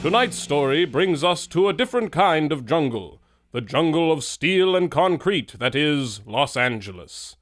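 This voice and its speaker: An actor playing a grand, dramatic narrator of an old-time radio adventure serial. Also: male voice, on the high side, sing-song, a little slow, a bit bright, faint music behind. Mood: ominous.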